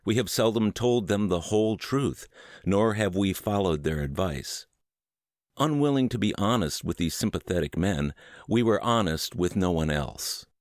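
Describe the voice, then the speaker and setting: A man reads a book aloud in a very low voice. His intonation moves widely, with measured, deliberate phrasing. The sound is dry and close, with no room echo, as in a narrated audiobook. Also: expressive. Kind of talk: scripted narration, word-for-word book reading.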